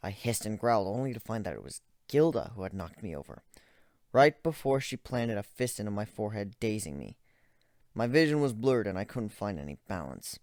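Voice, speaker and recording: Male amateur story narrator, deliberate and brooding, animated intonation, dry close sound. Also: on the low side, no room sound. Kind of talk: dramatic story reading.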